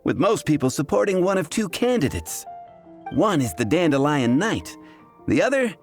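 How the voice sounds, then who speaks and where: A man's voice: fairly high pitch, sing-song, normal speed, faint music behind. A man voicing a wry, chatty young tavern worker in a video game, wordy and theatrical. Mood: amused.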